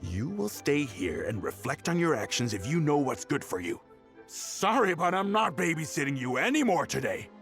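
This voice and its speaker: A man's voice: on the high side, very animated, moderate pace, fairly bright tone. A man voicing an irascible, bullying tabloid editor in a video game, blustering and scolding. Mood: angry, threatening. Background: music.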